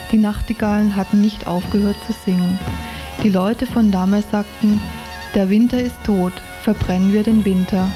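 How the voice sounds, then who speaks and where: A woman's voice: medium pitch, dark tone. A woman giving a scripted reading from a novel as a storytelling narrator. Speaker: reader of a novel excerpt.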